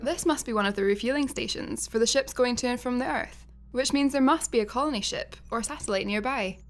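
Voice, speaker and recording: A woman's voice: a little high, brisk, lightly acted. A woman playing an exasperated, conversational space traveller in a sci-fi comedy radio play.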